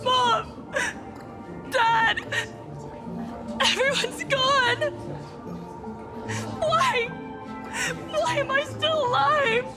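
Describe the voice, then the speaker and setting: A high-voiced, frightened orphan girl from a video game, grieving and unsure. She speaks extremely slowly in halting, stammered pieces, with music behind her. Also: heavily acted. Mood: sobbing, despairing. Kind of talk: dramatic video game voice acting.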